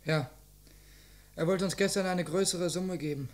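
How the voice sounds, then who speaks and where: An earnest, impulsive teenage boy played by a young man in a vintage radio crime drama. His voice sits high for a male. He answers his questioner defensively, with youthful defiance. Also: moderate pace, lightly acted. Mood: earnest, confessing. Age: young adult. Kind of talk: mid-20th-century German radio crime drama acting.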